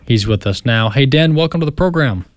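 A man's voice: mid-range voice, lively intonation, fairly quick, no room sound. A man hosting a radio talk show, introducing a guest and asking long, rambling questions with fillers. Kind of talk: radio interview.

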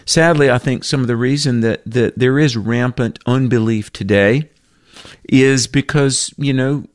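A man in a conversational faith discussion on a radio show, speaking earnestly at a middle pitch. He quotes verses and restarts his sentences often. The sound is dry and close, with no room around it. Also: unhurried, no echo. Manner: earnest, quotes scripture, frequent fillers and restarts.

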